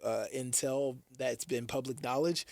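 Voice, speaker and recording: A man's voice: medium pitch, steady tone, unhurried, creaky, a little mellow, no echo. A man co-hosting a sports radio show, chatting casually and chiming in with short remarks. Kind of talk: casual banter, short interjections.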